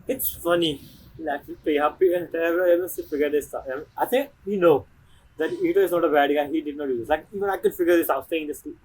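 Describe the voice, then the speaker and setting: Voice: medium pitch, quick pace, a little mellow, distant mic, slight background noise, low-quality recording. A game streamer giving casual, chatty reactions while playing.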